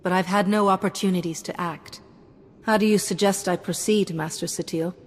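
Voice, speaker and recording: An actress playing a calm, dutiful female Jedi sage in a video game, speaking formally and measuredly in a reverberant space. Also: lowish voice, normal speed, close to an everyday voice. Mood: solemn, dutiful. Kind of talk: scripted video game dialogue. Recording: reverberant hall, background music.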